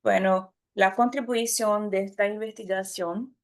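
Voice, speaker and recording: A woman presenting at an academic conference, her speech carrying a Brazilian Portuguese accent from her native tongue. She speaks carefully at a medium pitch, often stopping to restart or correct a word before moving on. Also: fairly even intonation, a little slow. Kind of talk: conference talk.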